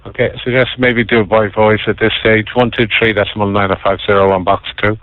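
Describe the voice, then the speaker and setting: A man with a fairly low voice works as an air traffic controller. He gives clipped instructions, heard through a narrow, tinny radio channel. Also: little pitch movement, brisk, no echo.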